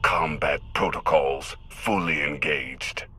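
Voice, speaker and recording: An extremely deep, slow villain, sharp-edged and raspy. He is a commanding, ominous tyrant from a video game, giving each word deliberate menace. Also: exaggerated voice. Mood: cold menace. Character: menacing male tyrant commanding a war fleet. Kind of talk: scripted video game acting.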